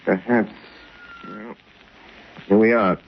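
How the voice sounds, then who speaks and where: Genteel society playboy: urbane, fairly low, muffled 1930s radio recording with some hiss. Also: male voice, fairly even intonation, takes it slowly. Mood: urbane, relaxed. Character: suave wealthy male dilettante, secretly a crime fighter. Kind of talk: 1930s radio drama acting.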